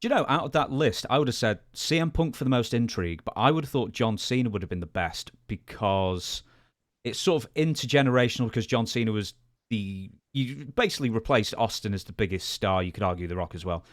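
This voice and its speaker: A man's voice: mid-range voice, lively intonation, fairly quick, no echo, clean studio sound. A man hosting a podcast, chatting casually about wrestling and steering the conversation. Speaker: podcast host.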